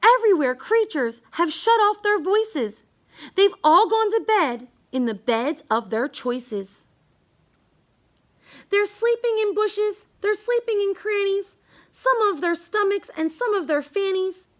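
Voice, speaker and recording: Woman, very high voice, slow pace, children's storybook read-aloud, rhyming verse. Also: animated. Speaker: storybook reader.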